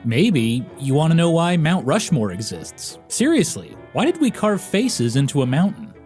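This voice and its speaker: A man's voice: fairly high pitch, huge pitch swings, normal speed, darkish tone, faint music behind. A man hosting a podcast, reading a scripted promotional spot.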